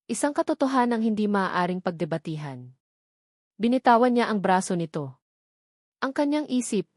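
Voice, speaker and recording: A woman's voice: medium pitch, a little mellow, dry recording. Woman narrating a dramatic story, dry close sound. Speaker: audiobook narrator.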